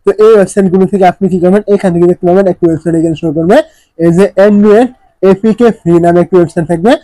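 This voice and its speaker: A man's voice: high-pitched, fairly even intonation, very fast, dark tone, no echo, low-quality recording. A man narrating a step-by-step phone tutorial, instructing repetitively and often posing questions before answering them. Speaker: tech tutorial presenter.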